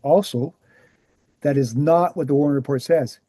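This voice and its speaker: A man playing an earnest researcher in a screenplay table read, explaining things in a measured, assured way. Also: fairly high pitch, warm tone, no room sound, lightly acted. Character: Gary, earnest male presenter, calm debunking researcher.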